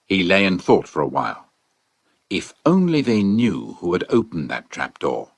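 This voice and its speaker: Casting: man for children's adventure narration, low-pitched, dramatic and varied, dry studio sound. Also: normal speed, husky, dry recording. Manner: expressive, dramatic storytelling. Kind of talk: scripted storybook narration.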